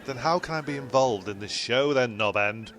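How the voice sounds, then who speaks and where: A man's voice: mid-range voice, moderate pace, fairly bright tone, slight background noise, lightly acted. A man playing a cheeky, dim castaway who boasts and rambles in an ad-libbed comedy audio sketch. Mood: indignant. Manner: rambling, ad-libbing. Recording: music behind, blown-out homemade audio.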